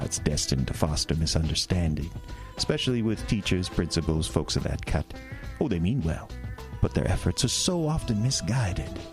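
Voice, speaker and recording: An actor playing a sinister, smooth-talking male carnival showman in a horror audio drama, with a deep, coaxing, theatrical delivery. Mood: persuasive. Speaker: carnival owner.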